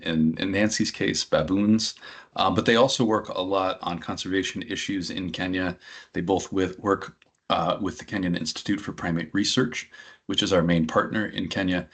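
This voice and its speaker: A middle-aged man from New Hampshire with a very low, husky voice walks listeners through a study program. He talks conversationally, leaning on frequent ums and uhs as he explains. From New Hampshire, USA. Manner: filler-heavy, conversational explaining. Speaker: program director and anthropologist. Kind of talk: information session presentation.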